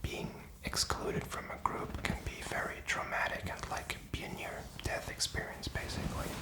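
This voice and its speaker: A man's voice: moderate pace, echoey room. A man whispering in a rambling, reflective and informal ASMR style, feeling his way through his thoughts. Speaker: ASMR content creator.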